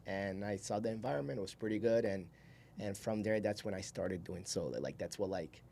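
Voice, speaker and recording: Young guy on a podcast, lowish voice, rambling casual stories, bit of background noise. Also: brisk, vocal fry, warm tone. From Brazil. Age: 20s. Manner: informal, rambling, chuckles while talking. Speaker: podcast guest, solar salesman. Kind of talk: casual storytelling in an interview.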